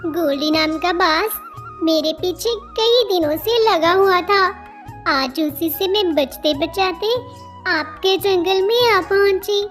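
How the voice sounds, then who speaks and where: A woman's voice: very high-pitched, slow, fairly bright tone, soft background music, heavily acted. A woman voicing a sweet, innocent, curious young female bird in a children's cartoon story, speaking politely and simply. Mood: earnest, plaintive.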